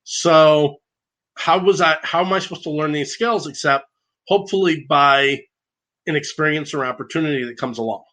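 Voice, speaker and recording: Loud, bright-voiced man talking earnestly. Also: on the high side, moderate pace. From grew up in a divorced family. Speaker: podcast guest. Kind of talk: conversational podcast interview.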